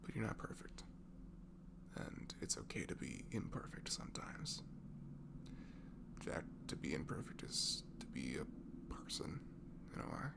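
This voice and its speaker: Soft breathy guy doing comfort asmr voicemail, super fried voice, kinda whispery, rambly n sweet to his friend. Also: extremely low pitch, animated, measured pace, pauses often. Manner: rambling, affectionate, informal reassurance. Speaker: amateur ASMR audio creator playing a supportive friend.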